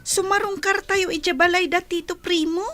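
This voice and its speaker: A woman's voice: very high-pitched, fairly even intonation, normal speed, music in the background. A woman playing an eager, girlish, affectionate younger sister in a family audio drama.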